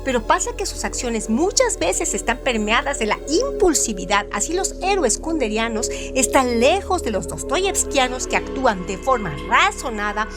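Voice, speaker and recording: A woman with a high voice presents a scripted radio narration. She reads formally and declaims her sentences with very animated pitch movement. Music is clearly heard behind her. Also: moderate pace, few pauses.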